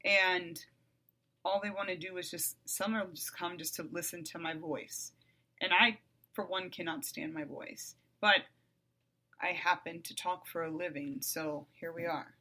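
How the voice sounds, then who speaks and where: A middle-aged woman hosting her own podcast, talking bluntly and with profanity in a calm, even voice that flares up loud at times. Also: on the low side, measured pace. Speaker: podcast host. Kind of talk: candid monologue.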